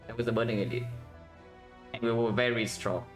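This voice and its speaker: Vietnamese-accented male streamer, mid pitch, casual running commentary, faint music bed. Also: steady tone, a little fast. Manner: thinks aloud, tag questions "yeah?", chats with viewers. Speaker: game streamer.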